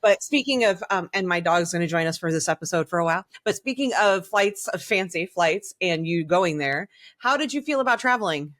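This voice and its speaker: A woman's voice: lowish voice, animated, a little fast, few pauses, fairly bright tone, no room sound. Blunt woman podcaster, close dry sound. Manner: opinionated, blunt, mildly sweary. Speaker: podcast co-host. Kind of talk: casual candid chat.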